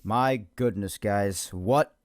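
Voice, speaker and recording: A young man hosting a solo sports podcast, energetic and rambling, his voice slightly hoarse. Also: on the low side, takes it slowly. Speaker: podcast host.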